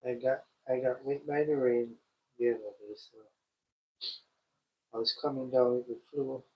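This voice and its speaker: A man hosting a live stream, talking slowly and directly to his chat, his voice congested and stuffy from a cold. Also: mid-range voice, steady tone. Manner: slow, repetitive, addresses the chat directly. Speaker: livestream host. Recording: dull lo-fi stream audio.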